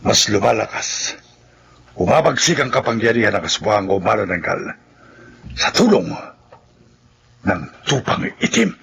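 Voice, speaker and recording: A man playing a solemn, gravelly folk healer in a Tagalog horror radio drama, speaking gravely and with emphasis. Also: lowish voice, very animated, a little slow, long pauses, fairly bright tone, slight background noise, exaggerated voice. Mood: ominous. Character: solemn male folk healer who battles evil creatures.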